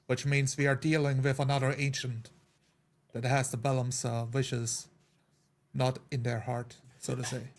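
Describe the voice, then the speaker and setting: A man's voice: mid-range voice, little pitch movement, unhurried. A man playing a tabletop roleplay game, speaking in character and narrating his own actions.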